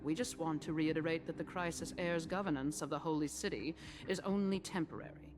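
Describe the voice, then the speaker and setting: A sly, haughty female elder of a council, acted by a woman in a video game. Her voice sits low, with formal, condescending, deliberate phrasing and veiled threats over clear music. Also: steady tone, close to an everyday voice. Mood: haughty warning. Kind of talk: scripted video game acting. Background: game soundtrack music.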